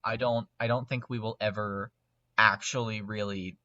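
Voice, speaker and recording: A man's voice: lowish voice, fairly even intonation, normal speed, bright, forceful tone, muffled recording. A man chatting casually as a podcast guest, speaking emphatically and repeating words for emphasis. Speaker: podcast guest, lore expert. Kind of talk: casual discussion.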